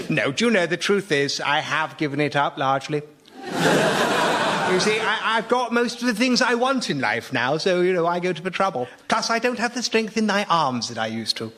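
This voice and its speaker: Dithering, louche old actor, bright-voiced. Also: male voice, high-pitched, very animated, moderate pace, slight background noise, exaggerated voice. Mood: bashfully unrepentant. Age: older. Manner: plummy, dithering, chuckling euphemisms. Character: louche old male actor, cheerfully confessing bon vivant. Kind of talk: radio sketch comedy acting.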